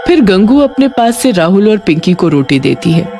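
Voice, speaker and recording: A woman's voice: mid-range voice, sing-song, normal speed, dark tone. A woman narrating a scripted cartoon horror story as its storyteller. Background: prominent background music.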